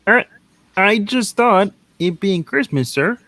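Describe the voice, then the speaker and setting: A man's voice: high-pitched, expressive, slow, bright, forceful tone, no echo, heavily acted. A man playing a meek, dutiful office clerk in a radio-play reading, deferential and hesitant. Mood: timid. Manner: deferential, hesitant, mumbling to himself.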